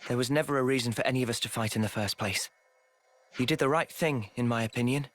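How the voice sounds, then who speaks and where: An actor playing a calm, earnest young man soldier who speaks gently. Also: medium pitch, fast talker, close to an everyday voice.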